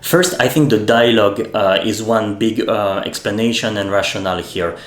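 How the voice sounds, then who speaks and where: A man, a native French speaker, hosting a video essay and speaking in a measured way, often pausing with fillers and restarting his sentences. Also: lowish voice. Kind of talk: spoken commentary essay. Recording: echoey room with faint music.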